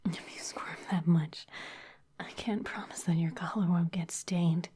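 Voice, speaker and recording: A woman's voice: lowish voice, steady tone, unhurried, mellow, close to an everyday voice. A woman whispering in an ASMR roleplay as a teasing, predatory female vampire office boss. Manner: whispered throughout.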